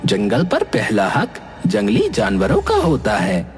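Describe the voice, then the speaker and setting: A man's voice: fairly low pitch, huge pitch swings, a little slow, husky, heavily acted. A man voicing an indignant cartoon ghost who lectures assertively in defence of forest animals. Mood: determined. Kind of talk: Hindi cartoon voice acting. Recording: long hall reverb, faint music behind.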